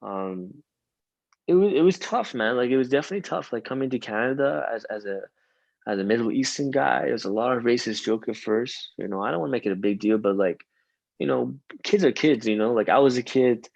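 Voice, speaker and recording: A man's voice: mid-range voice, lively intonation, brisk, creaky, darkish tone, no room sound, dull audio. Rambling young man, dry sound. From Iran. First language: Farsi. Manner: rambling, many fillers and restarts. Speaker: rapper guest. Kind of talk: casual interview chat.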